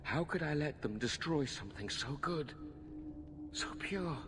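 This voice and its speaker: A man playing a tormented, pitiful killer in a video game, halting and anguished as he pleads. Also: on the high side, expressive, fairly bright tone, slight background noise. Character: tormented, self-loathing male killer, magistrate's son, pitiful. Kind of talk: video game dramatic acting.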